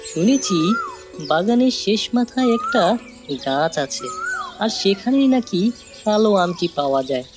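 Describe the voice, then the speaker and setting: Curious, headstrong cartoon village child, eager and scornful of warnings, clear music bed. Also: female voice, medium pitch, very animated, measured pace, pauses often. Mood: intriguing, eager. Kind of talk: animated moral-tale cartoon acting.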